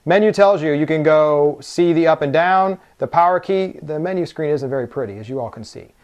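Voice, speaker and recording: Man, slightly higher pitch, informal product reviewer, casual unboxing talk, step-by-step explaining. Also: a little fast, a little mellow, muffled recording.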